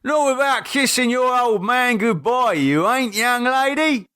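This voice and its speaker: A man's voice: very high-pitched, lively intonation, measured pace, pushed and bright, smooth, dry recording, clean studio sound, voice pushed up, exaggerated voice. A man voicing a middle-aged British pub landlord in an audiobook, a teasing husband and father with a blunt, colloquial, bantering way of talking. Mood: stern, playful.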